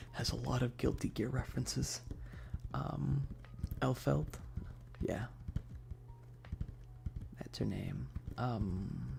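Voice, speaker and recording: A man chatting casually on an ASMR game stream in a soft voice that often slips toward a whisper, laid-back and sweary with slangy, hesitant enthusiasm. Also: mid-range voice, vocal fry. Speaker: streamer hosting a live stream. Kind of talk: casual rambling ASMR stream chat about games.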